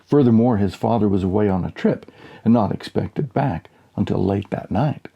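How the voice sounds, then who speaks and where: A man narrating a humorous short story as an audiobook, with dry, measured storytelling. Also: on the low side, gravelly, slightly rough, lo-fi sound.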